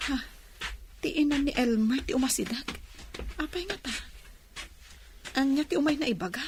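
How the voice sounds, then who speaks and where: High-voiced coy young village woman played by an actress. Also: animated, moderate pace, background noise. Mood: nervous. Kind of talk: Ilocano radio comedy drama acting.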